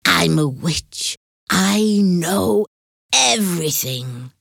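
Storybook witch, old and all-knowing, gravelly and slow, voiced by a woman narrator. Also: lowish voice, lively intonation, fairly bright tone, dry recording, clean studio sound, exaggerated voice. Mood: mysterious.

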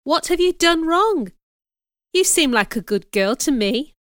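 A woman's voice: high-pitched, sing-song, measured pace, a bit bright, no room sound, studio quality, voice pushed up, exaggerated voice. A woman voicing an outspoken, sensitive young schoolgirl in an audiobook, speaking with emotion and emphasis. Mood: curious, concerned. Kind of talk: audiobook character voice.

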